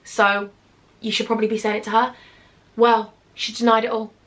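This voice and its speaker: A woman's voice: fairly high pitch, level tone, normal speed, fairly bright tone. A young British woman telling a personal storytime on her vlog, chatty and confiding with sarcastic asides. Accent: British English. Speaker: YouTuber.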